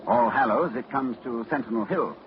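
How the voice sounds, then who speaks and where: An actor playing a grave, urgent scholar in a 1940s radio drama, lecturing and tense. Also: male voice, medium pitch, moderate pace, natural voice. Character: older male scholar facing a monster. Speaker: Doctor Armitage. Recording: dull old radio recording with hiss.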